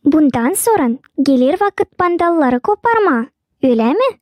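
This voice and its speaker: A woman's voice: high-pitched, big pitch swings, moderate pace, darkish tone, dry recording. A woman voicing a cheeky, know-it-all young hedgehog in a children's cartoon, naive and boastful. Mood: overconfident. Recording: music behind the voice.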